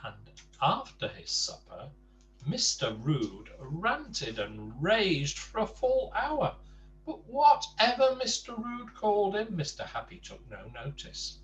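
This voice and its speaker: Man reading a kids bedtime story, really swoopy expressive voice, takes his time, bit far from the mic. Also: high voice, takes it slowly. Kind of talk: animated children's storybook narration with casual asides. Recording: distant mic with faint background noise.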